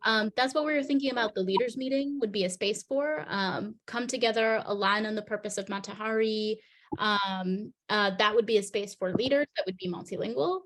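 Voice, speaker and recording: A woman facilitating a group discussion, thoughtful and affirming, often pausing to search for words. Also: mid-range voice, fairly bright tone. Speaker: meeting facilitator.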